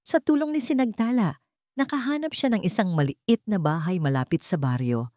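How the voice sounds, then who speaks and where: A woman's voice: mid-range voice, normal speed, a little mellow, dry recording, clean studio sound. A woman reading a scripted story in a dramatic narration style.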